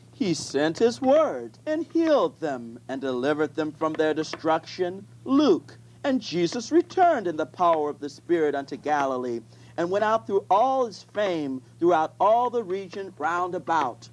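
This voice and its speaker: Slow careful bible reader, voice goes up and down a lot, bit halting. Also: medium pitch, big pitch swings, a little mellow, rough recording. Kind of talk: scripture reading.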